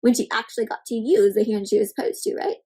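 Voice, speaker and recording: A woman reading a picture book aloud to children, interactive and expressive, stressing words and turning to young listeners with simple questions and explanations. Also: a little high, fast, husky. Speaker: children's read-aloud host.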